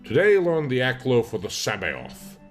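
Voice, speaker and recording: A man's voice: mid-range voice, wildly swooping pitch, normal speed, soft background music. A man voicing an eerie, precocious country boy sorcerer reading his diary with rustic, unschooled diction in a horror audiobook. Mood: ominous, matter-of-fact.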